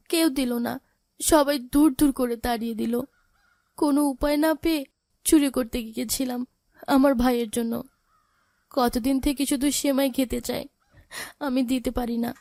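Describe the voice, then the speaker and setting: A woman voicing a poor orphaned big sister in a cartoon drama, gentle, sorrowful and pleading. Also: sits high, fairly even intonation, normal speed, long pauses, no echo, studio quality. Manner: plaintive, pleading.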